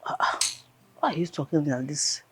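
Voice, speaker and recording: A woman's voice: sits low, normal speed, close to an everyday voice. A woman playing a concerned, devout Nigerian woman in a film drama, admonishing with emphasis and urging hope. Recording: faint background noise and ambience.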